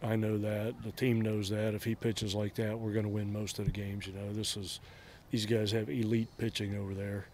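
A man's voice: lowish voice, level tone, moderate pace, few pauses, darkish tone, slight background noise. A middle-aged man, a head baseball coach, giving short blunt answers in a postgame interview outdoors at a ballpark at night. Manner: blunt, terse, matter-of-fact answers.

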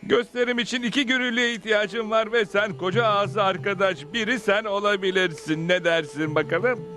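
A naive, showy cartoon outlaw played by a man, with a strikingly high voice. He sounds goofy and very pleased with himself. Music runs underneath. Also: normal speed, lightly acted. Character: goofy, food-loving outlaw boasting of supernatural powers. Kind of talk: Turkish-dubbed TV cartoon acting. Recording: music behind the voice.

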